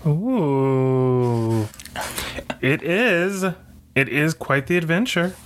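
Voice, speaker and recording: A man's voice: fairly high pitch, expressive, very slow, slight background noise. A man co-hosting a casual film podcast, joking and rambling as he interrupts his own thoughts. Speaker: podcast co-host. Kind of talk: casual, unscripted film chat.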